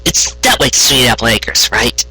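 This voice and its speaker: A man's voice: mid-range voice, lively intonation, normal speed, bright, forceful tone, breathy edge, heavily acted, poor audio. A man voicing a defensive young female unicorn in a story, hesitant and stammering yet insistent.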